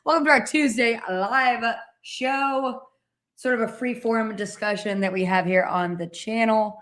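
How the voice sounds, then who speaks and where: A woman's voice: a little high, steady tone, unhurried, fairly bright tone. A woman co-hosting a casual live stream, upbeat and keeping the show on track. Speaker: co-host.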